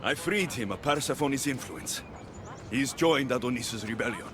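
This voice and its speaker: An actor playing a blunt, guarded mercenary hero with a dry, sardonic delivery in a video game cutscene. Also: male voice, mid-range voice, expressive, normal speed, background noise, lightly acted. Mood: matter-of-fact. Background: background music.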